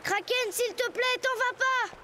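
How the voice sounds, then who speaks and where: Very high earnest boy hero. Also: a little slow, background noise. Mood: polite, pleading. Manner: earnest, pleading. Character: nine-year-old boy hero, earnest, polite, kind. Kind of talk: children's cartoon acting. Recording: music behind the voice.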